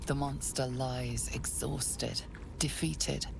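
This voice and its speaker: A woman voicing a grave, ominous narrator in a dark fantasy video game, with a hushed, deliberate, dramatic delivery. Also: rumbling low, steady tone, gravelly, noisy background, heavily acted. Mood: grave, intense. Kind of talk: scripted second-person game narration.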